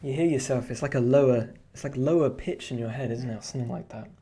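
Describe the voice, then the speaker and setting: A man's voice: medium pitch, fast talker, mellow, breathy edge. A man chatting casually while drawing on a live stream, rambling with lots of fillers and restarts. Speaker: streamer and artist.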